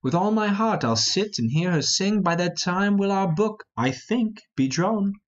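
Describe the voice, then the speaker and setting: A man playing an earnest, lovestruck young lord in an amateur Shakespeare reading, expressive and lyrical. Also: sits high, unhurried, muffled recording, voice pushed up.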